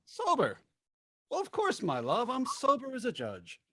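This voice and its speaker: An actor playing a tipsy henpecked husband, wheedling and grandiose, in old-time radio style. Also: male voice, very high-pitched, huge pitch swings, a little slow, fairly bright tone, no room sound, studio quality, heavily acted. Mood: flattering, grandiose.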